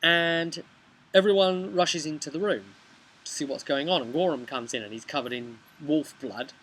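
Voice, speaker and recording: A man's voice: fairly high pitch, normal speed, fairly bright tone. A man, casually retelling a tabletop role-playing session as game master, recorded outdoors on a camera mic. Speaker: game master recapping his campaign. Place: outdoors on a bench beside a brick house. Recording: noisy outdoor home video recording.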